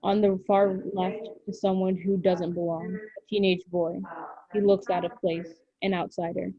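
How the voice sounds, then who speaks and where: A woman reading scripted stage directions as narrator of a drama, in short, measured phrases. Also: mid-range voice, level tone, dull audio.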